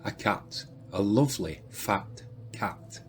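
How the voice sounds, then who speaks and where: A man's voice: lowish voice, expressive, slow pace, slight background noise. A man playing a deranged asylum patient in a radio drama, greedy, fawning and relishing his words. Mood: greedy, wheedling.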